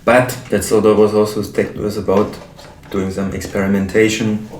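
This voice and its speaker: A man with a German accent talks casually while assembling his synth case, pausing often to search for English words. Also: lowish voice, little pitch movement, a little mellow, a little hiss or hum. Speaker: modular synth hobbyist. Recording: roomy, mic far off.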